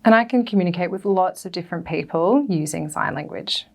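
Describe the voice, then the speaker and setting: A woman interpreting a prepared talk aloud, lower-pitched for a woman and gravelly. She speaks carefully in short phrases. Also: brisk. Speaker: sign language interpreter voicing a deaf speaker.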